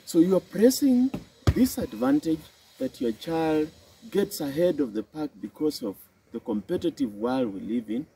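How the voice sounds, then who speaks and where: Kenyan man, unhurried and lilting, mellow tone, faint outdoor hiss. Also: poor audio. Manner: slow and deliberate, with a swooping emphatic pitch. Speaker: psychology and health specialist. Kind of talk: reflective talk to camera.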